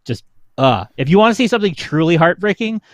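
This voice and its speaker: A man's voice: sits high, sing-song, quick pace, dry recording. A young adult man chatting casually on a livestream, an enthusiastic fan who peppers his talk with "like". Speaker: show panelist.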